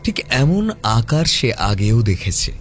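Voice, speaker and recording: Male audio-story narrator, measured and dramatic, mid-pitched with lively intonation, horror mystery read. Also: soft background music.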